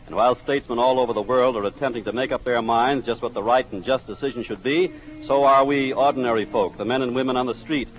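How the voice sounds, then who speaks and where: A man's voice: mid-range voice, low-quality recording. A man reporting and interviewing passers-by for 1940s radio in formal, oratorical phrasing, on a downtown street. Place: outdoors on a busy downtown street. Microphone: broadcast street microphone.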